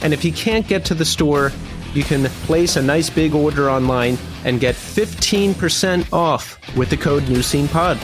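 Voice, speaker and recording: A man's voice: on the high side, moderate pace, music in the background. A man hosting a podcast, reading an ad and then interviewing a guest in an upbeat, chatty way. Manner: upbeat, chatty, laughs easily. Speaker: podcast host.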